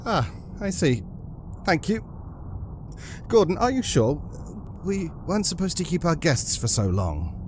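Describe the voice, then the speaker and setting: Man playing a timid, wildly inflected gentleman. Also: a little high, sing-song, unhurried, a little hiss or hum. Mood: anxious, polite. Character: nervous, genteel master of the house, timid. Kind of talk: audio drama acting.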